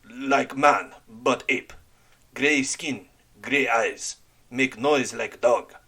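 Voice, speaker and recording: A man voicing Tan Lo, a frightened sailor who speaks in halting, broken English. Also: mid-range voice, sharp tone, breathy edge, exaggerated voice. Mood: fearful.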